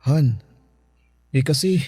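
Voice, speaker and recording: A man with a Filipino accent playing a sheepish young boyfriend in a radio drama, pleading haltingly. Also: medium pitch, a little mellow, husky, no room sound. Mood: nervous, defensive. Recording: music bed behind the voice.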